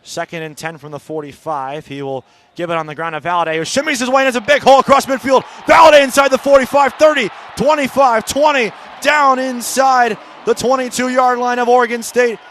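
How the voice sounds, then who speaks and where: A man's voice: very high-pitched, fairly quick, a bit bright, slight background noise. A man calling live college football on the radio as play-by-play announcer, in clipped descriptive phrases that swell on big plays.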